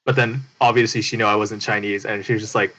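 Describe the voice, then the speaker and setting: A man's voice: fairly low pitch, fairly even intonation, fast talker, bright, forceful tone, rough recording. A man telling casual anecdotes on a podcast, chatty and full of fillers. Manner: chatty, filler-laden, laughs at own stories. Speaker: podcast co-host.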